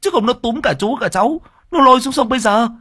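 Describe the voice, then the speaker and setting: A man voicing a brash young man, hurried and scolding, raised high above his own voice. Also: bright, forceful tone, husky, heavily acted. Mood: urging.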